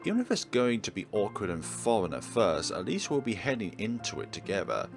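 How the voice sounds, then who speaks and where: A man's voice: on the low side, wildly swooping pitch, a little fast, gravelly, over music. A man reading visual novel narration aloud and adding his own commentary as he plays.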